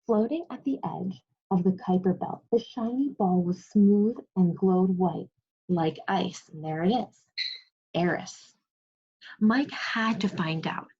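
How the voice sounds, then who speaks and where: Woman primary teacher, slightly husky, expressive read-aloud for kids, muffled video-class sound. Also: on the low side, slow. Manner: expressive storybook reading, praising and prompting pupils. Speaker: second grade teacher. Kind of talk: read-aloud lesson to young children. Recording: muffled, lo-fi online class audio.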